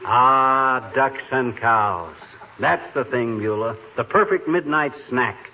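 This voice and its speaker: A man's voice: medium pitch, lively intonation, takes it slowly, slight background noise. A man playing a cocky, scheming middle-aged husband with brash, folksy talk in a 1940s radio comedy. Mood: wisecracking. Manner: folksy, brash, clipped exclamations. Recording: muffled 1940s radio recording with music.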